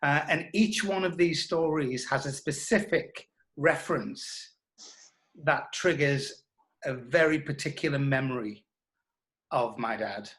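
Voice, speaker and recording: A middle-aged man being interviewed as a writer, speaking reflectively and haltingly. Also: fairly high pitch, a bit bright. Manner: thoughtful, halting delivery. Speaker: interviewed writer who adapted his father's stories.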